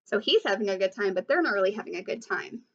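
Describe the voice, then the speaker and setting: A woman teacher reading a picture book aloud to children, pausing to explain and ask questions. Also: mid-range voice, animated, fairly bright tone, husky, lo-fi sound. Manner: explanatory, asks listeners questions. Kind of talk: read-aloud storytelling with asides to children.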